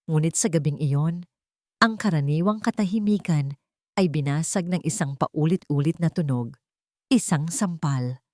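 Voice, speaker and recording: Lowish-voiced woman narrating a drama. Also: a little mellow, no echo, clean studio sound. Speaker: storyteller, narrator.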